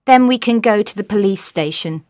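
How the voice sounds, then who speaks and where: A woman's voice: mid-range voice, lively intonation, normal speed, darkish tone, no echo, studio quality, close to an everyday voice. A woman playing a practical young woman, concerned for her friend, in an audiobook story. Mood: concerned, polite. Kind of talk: audiobook character dialogue.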